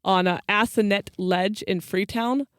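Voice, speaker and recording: A woman with a clear, smooth voice, recorded dry and close. She tells stories as casual chat, like a podcast co-host. Her retellings come in flippant everyday slang. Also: mid-range voice, steady tone, measured pace, no room sound.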